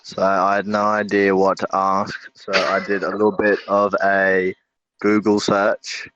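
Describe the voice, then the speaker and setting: A man's voice: low-pitched, monotone, measured pace, fairly bright tone, slight background noise. A young man chatting casually as a podcast guest. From Australia. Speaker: band member and podcast guest. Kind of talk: casual chat. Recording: slightly dull, muffled audio.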